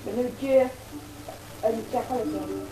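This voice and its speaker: A woman's voice: on the high side, measured pace. A young woman giving casual, rambling commentary as she plays a video game at home. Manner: rambling, filler-heavy chatter. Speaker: let's play host. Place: home room, filmed from a TV screen. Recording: echoey room with game music.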